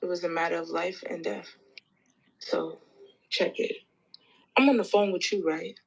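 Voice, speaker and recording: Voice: medium pitch, fairly even intonation, moderate pace, creaky, husky, rough recording. An actor playing a boatman who recounts a storm at sea in a colloquial audio play monologue. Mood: earnest recounting.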